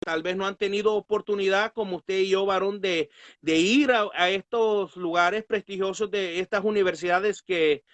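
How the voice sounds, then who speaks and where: A man's voice: sits high, steady tone, normal speed, fairly bright tone, no room sound, highs cut off. A man, a pastor and Bible teacher, speaking earnestly and piously in a religious talk, often punctuating it with amens. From Guatemala.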